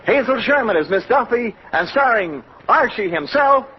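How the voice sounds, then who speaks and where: A man's voice: extremely high pitch, expressive, normal speed, husky, heavily acted. A man announcing a scripted 1940s radio show in a showy, formal promotional style. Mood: brisk, promotional. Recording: muffled old radio recording.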